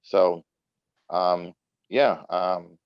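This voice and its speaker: A man's voice: low voice, clear, resonant tone, no echo, dull audio. A middle-aged man from Trinidad, a soccer coach, talking casually as an interview guest with many fillers. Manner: relaxed, unhurried, many fillers.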